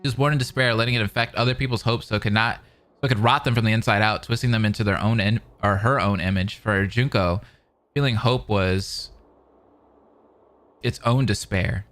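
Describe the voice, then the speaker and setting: A man's voice: fairly low pitch, steady tone, brisk, fairly bright tone. A man hosting a reaction video, reading visual novel narration aloud with casual, slangy commentary. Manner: informal, slangy asides.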